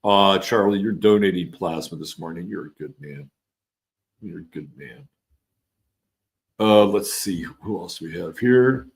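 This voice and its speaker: A man's voice: low-pitched, a little slow, vocal fry, pauses often. A man with a mixed California and East Coast accent hosting a casual livestream, chatting loosely with viewers and reading their comments. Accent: California and East Coast mix. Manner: loose and rambling, trails off, restarts, swears casually. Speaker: livestream host.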